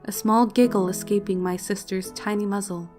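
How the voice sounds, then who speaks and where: A woman with a mid-pitched voice narrates a dramatic first-person story. Her delivery is emotive and solemn, and faint music plays behind her. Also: steady tone, normal speed. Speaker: fan fiction narrator.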